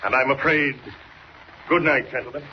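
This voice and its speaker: An actor playing a formal, evasive official secretary, aloof and coolly polite, in 1940s radio style. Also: male voice, mid-range voice, moderate pace, close to an everyday voice. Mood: coolly dismissive. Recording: muffled, faintly noisy old radio recording.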